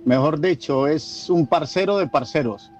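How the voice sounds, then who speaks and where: A man's voice: fairly high pitch, measured pace, a little mellow, faint music behind. A Colombian man with a Colombian Spanish accent, talking casually as a caller to a radio show. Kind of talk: casual call-in talk. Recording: dull lo-fi call, some background noise.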